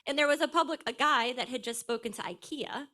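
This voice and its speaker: A woman's voice: sits high, fairly quick, bright, forceful tone. Chatty woman introducing herself. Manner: chatty, rambling, laughs. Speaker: new member speaker. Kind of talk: casual self-introduction.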